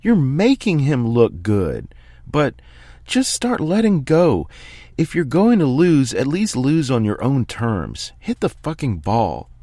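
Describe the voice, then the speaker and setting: A man voicing a furious male tennis coach who shouts, scolds and pleads with his player. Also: medium pitch, very animated, slow, dry recording, clean studio sound, pitched above the performer's own voice, heavily acted. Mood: fierce.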